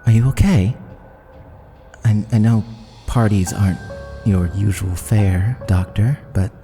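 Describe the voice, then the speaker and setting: A man voicing a gentle, concerned female priest in a fantasy tabletop game, softly coaxing and hesitant. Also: fairly low pitch, takes it slowly, faint music behind.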